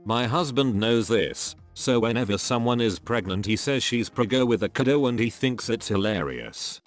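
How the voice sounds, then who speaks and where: A man narrating a series of online posts in a steady read-aloud style. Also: mid-range voice, normal speed, faint music behind. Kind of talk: scripted narration.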